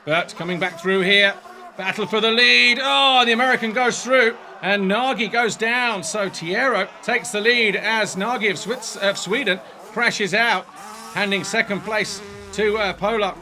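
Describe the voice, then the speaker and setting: A man giving brisk, excited play-by-play commentary on a motocross race as a studio voice-over. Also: high voice, slight background noise. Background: music bed.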